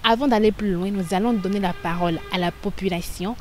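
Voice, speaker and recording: Lady hosting a tv show, clear measured read, faint noise behind. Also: mid-range voice. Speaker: TV presenter. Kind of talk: scripted show presentation.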